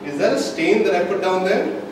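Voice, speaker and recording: A distant, very echoey recording with clear background noise catches a man giving a comic storytelling speech. He holds dramatic pauses and leans hard on key phrases, building up each point theatrically for a live audience. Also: sits high. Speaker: club speaker telling a tall tale in a speech contest. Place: indoor meeting room, recorded from the audience.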